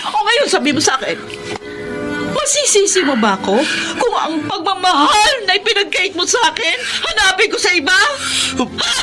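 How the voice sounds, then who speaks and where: A woman's voice: very high-pitched, very animated, moderate pace. A woman playing an unfaithful, defiant and wounded wife in an emotional Filipino radio drama. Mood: tearful, angry. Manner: melodramatic, emotional delivery. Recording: loud music under the voice.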